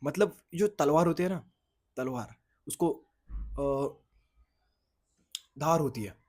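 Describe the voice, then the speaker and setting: A young man with a slightly husky, somewhat high voice, speaking in a loose, rambling vlog style. His first language is Marathi, and he often loses his thread and restarts sentences while talking to camera. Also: slow pace, a little mellow. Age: young adult. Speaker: content creator. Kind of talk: casual vlog talk to camera. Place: outdoors in the sun, at a boys' hostel.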